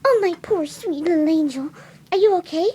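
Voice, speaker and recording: A woman voicing a timid, gentle, motherly pegasus pony with a nervous, hesitant stammer in a story reading. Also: very high-pitched, normal speed. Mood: tender. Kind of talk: fan fiction dramatic reading.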